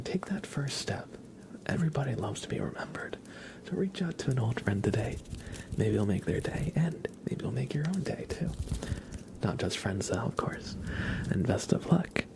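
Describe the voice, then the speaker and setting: A man speaks in a whispery, heavily creaky voice as he hosts an ASMR livestream. His delivery is gentle and unhurried, like soft chatting with viewers. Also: medium pitch. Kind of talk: ASMR, soft whispered live chat and reading.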